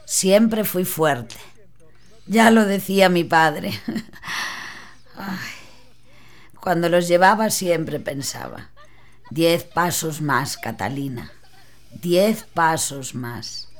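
A woman's voice: low-pitched, animated, slow pace, long pauses, heavily acted. An actress playing a weary older working-class woman who sews for her masters, talking tenderly with her husband in a radio drama. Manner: tired, sighing, tender. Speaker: servant seamstress.

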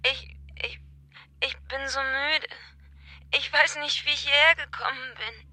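A woman's voice: high-pitched, fairly even intonation, bright, forceful tone, no echo, heavily acted. An exhausted hostage girl. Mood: dazed. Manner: halting, faltering. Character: frightened young woman held hostage, exhausted.

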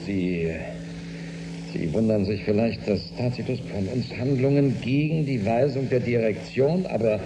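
An actor playing a commanding, weary spaceship captain in a German radio drama. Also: male voice, mid-range voice, a little slow, close to an everyday voice. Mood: stern. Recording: roomy studio with clear background hum.

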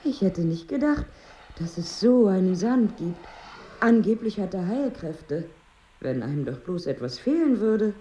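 A woman's voice: mid-range voice, big pitch swings, unhurried, dark tone, highs cut off, slight background noise. An actress playing a melancholy, bitter married woman in a radio drama, with a brooding, wry, weary manner and diction. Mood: falsely cheerful. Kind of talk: radio drama monologue and dialogue.

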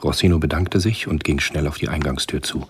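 An actor narrating a German radio spy thriller in a measured, scripted style. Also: male voice, extremely low pitch, slightly rough, heavily acted.

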